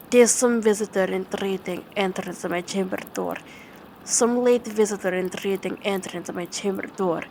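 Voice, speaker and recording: A woman playing a tender, dominant vampire girlfriend in an ASMR bedtime roleplay, speaking in a halting, soothing way. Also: medium pitch, darkish tone. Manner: slow, halting, soothing delivery with repeated words. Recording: clearly noisy ambient background.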